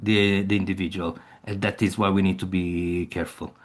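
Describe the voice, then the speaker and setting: A man leading an online meeting, speaking haltingly with frequent restarts and non-native phrasing. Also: low-pitched, flat delivery. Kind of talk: casual discussion.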